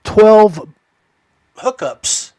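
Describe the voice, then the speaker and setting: A man's voice: high voice, lively intonation, drawn-out words, warm tone, no room sound. A man hosting a podcast in casual chat, with a folksy way of addressing his listeners. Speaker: podcast host. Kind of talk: casual chat about fishing gear.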